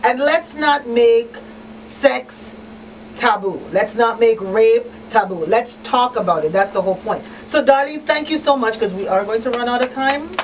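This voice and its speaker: A woman's voice: fairly high pitch, moderate pace. A woman hosting a talk show in a conversational, emphatic and plain-spoken way, repeating key phrases. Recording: distant mic, faint background noise.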